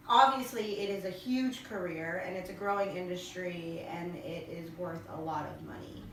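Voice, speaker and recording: A woman co-hosting a podcast, explaining her views in an animated, chatty way. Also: medium pitch, a little hiss or hum. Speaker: podcast co-host. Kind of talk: casual discussion. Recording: very echoey room, voice from far off.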